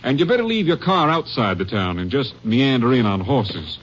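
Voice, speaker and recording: An actor playing an authoritative, folksy Texas Ranger captain, brisk and businesslike, in a 1950s radio drama. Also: male voice, mid-range voice, sing-song, fairly bright tone. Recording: muffled, noisy vintage radio recording.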